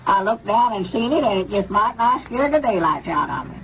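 An actor playing a gullible old country storekeeper with a rural Southern American accent, rambling and repeating himself in 1930s radio comedy style. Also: male voice, sits high, very animated, fairly quick, sharp tone, exaggerated voice. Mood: earnest, chatty. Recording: dull, noisy 1930s radio recording.

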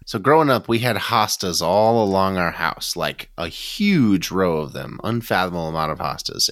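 A man's voice: sits low, fairly bright tone, dry recording, studio quality. A man chatting casually and enthusiastically on a podcast, telling anecdotes as a panelist.

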